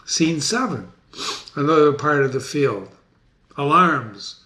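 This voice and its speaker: Guy reading a play aloud with casual side comments, lively swooping pitch, bright voice. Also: slow, reverberant. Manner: informal, animated asides. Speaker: reader and host.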